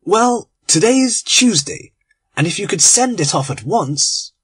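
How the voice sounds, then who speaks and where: A man's voice: sits high, very animated, a little slow, a bit bright, husky, voice pushed up, heavily acted. A man doing a polite young English lord. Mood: earnest. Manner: polite, earnest.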